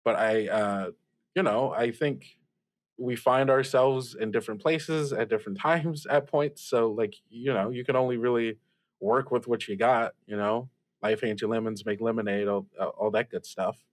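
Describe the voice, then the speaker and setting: A man's voice: mid-range voice, fairly even intonation, normal speed, no room sound, close to an everyday voice. A man playing an awkward, sympathetic student radio host who stammers tentative reassurance in an improvised drama.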